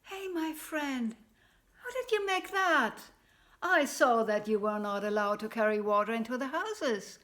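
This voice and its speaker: A king full of probing questions, curious and a little doubtful, as a woman storyteller plays him. The voice stays slow and slightly high, with a gentle storybook manner. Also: expressive. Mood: inquisitive.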